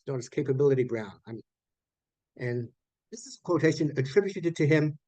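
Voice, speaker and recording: A man teaching an online class in an informal lecture style, explaining things haltingly and often correcting himself mid-sentence. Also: mid-range voice, animated, a little mellow, no room sound. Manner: halting, explanatory, with repeated phrases and self-corrections. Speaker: online course teacher of academic English writing.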